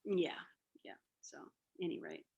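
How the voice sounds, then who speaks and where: A woman's voice: a little slow, warm tone, muffled recording. A woman in her forties chatting casually on a video call, rambling along with plenty of fillers. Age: 40s.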